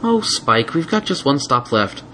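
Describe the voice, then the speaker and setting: A man's voice: medium pitch, big pitch swings, unhurried, pushed and bright, a little hiss or hum, voice pushed up. A man voicing a teasing, motherly young female pony in a light, coaxing, playful way for a storybook reading. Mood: fond coaxing.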